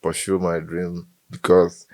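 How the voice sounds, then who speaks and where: A young Nigerian man with a very low voice and a Bayelsa-tinged Igbo accent, telling his story as a podcast guest. He jokes freely and drops into pidgin. The sound is dry and close, with no room around it. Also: monotone, slow, a little mellow, no echo. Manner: playful, jokey, slips into pidgin. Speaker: comedian guest. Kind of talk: casual podcast interview.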